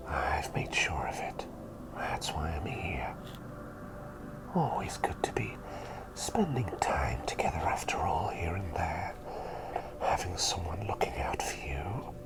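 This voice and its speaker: A man playing a gentle, doting plague doctor in a soothing whispered ASMR roleplay, attentive and softly playful. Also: low-pitched, exaggerated voice, rough recording.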